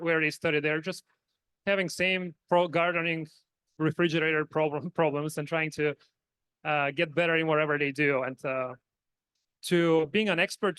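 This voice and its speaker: A man's voice: on the high side, fairly bright tone, clean tone, no room sound. A man from Bulgaria with a Bulgarian accent, speaking modestly and conversationally as a panelist. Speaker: panelist, software engineer. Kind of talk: conversational conference panel answer.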